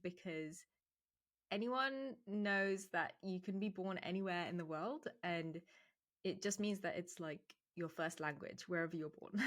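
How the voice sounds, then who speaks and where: Chatty woman, lower voice. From England. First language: Arabic. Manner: chatty, laughs often, frequent "so" and "like". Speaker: medical writer. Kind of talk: casual talk to camera.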